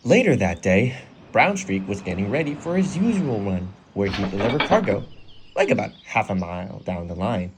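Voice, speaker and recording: A man's voice: on the low side, very animated, moderate pace, slight background noise. A man narrating a scripted children's story in storybook style. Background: music.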